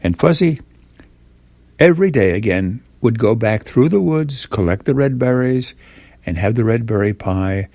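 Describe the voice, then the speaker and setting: A man's voice: mid-range voice, expressive, moderate pace, darkish tone, no room sound. An older man tells a simple bedtime story informally, as if speaking to a young child. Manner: simple, repetitive, asks the child listener questions.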